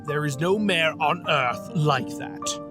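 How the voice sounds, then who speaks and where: An actor playing a grim, resigned monster in an audio drama, speaking slowly and sternly with sighs. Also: mid-range voice, close to an everyday voice. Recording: loud music under the voice.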